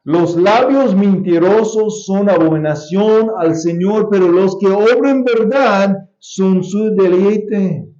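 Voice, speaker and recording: Pastor preaching in Spanish, echoey church. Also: male voice, sits high, dark tone. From United States. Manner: deliberate preaching with repeated key phrases and occasional restarts. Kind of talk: sermon. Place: church sanctuary. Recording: echoey church sound, slightly dull.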